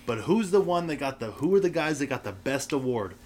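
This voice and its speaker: Quick young male co-host, rambling storyteller, faint noise behind. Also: mid-range voice, big pitch swings, a little mellow. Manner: rambling, storytelling, lots of fillers. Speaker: podcast co-host. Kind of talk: casual chat between friends.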